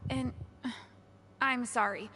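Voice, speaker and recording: A woman voicing a downcast, apologetic librarian in a video game, hesitant and halting. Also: on the high side, fairly even intonation, noisy background. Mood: weary, apologetic. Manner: slow, hesitant.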